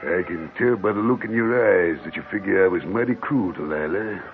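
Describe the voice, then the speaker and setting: An actor playing a vengeful, murderous old gold miner with an American accent, menacing and folksy in an old radio horror drama. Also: male voice, deep voice, animated. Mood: brooding, bitter. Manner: menacing, rustic folksy speech, drawn-out dramatic storytelling. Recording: muffled vintage radio recording with music.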